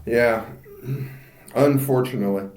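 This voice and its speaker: Man, podcast co-host, slow, joking and laughing, roomy echo. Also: medium pitch, darkish tone. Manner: joking, stumbles over names, laughs often. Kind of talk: casual banter.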